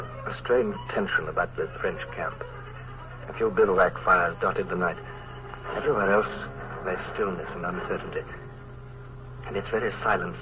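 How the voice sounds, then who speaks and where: An actor playing a steady, commanding naval commodore who also narrates, in 1940s radio drama style. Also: male voice, low voice. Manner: authoritative, measured narration. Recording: tinny old radio broadcast.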